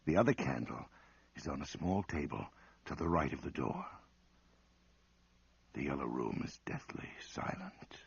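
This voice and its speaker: An actor serving as the ominous narrator of an old-time radio ghost story, measured and suspenseful. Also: male voice, rumbling low, big pitch swings, gravelly, breathy edge, full character voice. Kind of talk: scripted radio drama narration. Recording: muffled lo-fi old radio broadcast.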